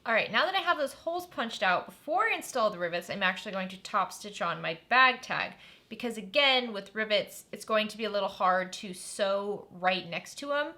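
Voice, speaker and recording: Female host, friendly craft-tutorial read: bright tone, mid pitch, chatty step-by-step explaining. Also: lively intonation, normal speed. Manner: chatty and upbeat, narrating while working.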